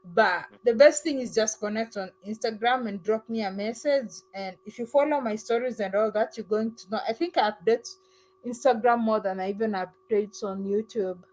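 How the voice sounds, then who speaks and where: A woman's voice: on the high side, moderate pace, low-quality recording. A woman hosting a live stream, chatting casually with callers and thanking them effusively. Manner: warm, effusive thanks, mimics other accents playfully. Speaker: livestream host.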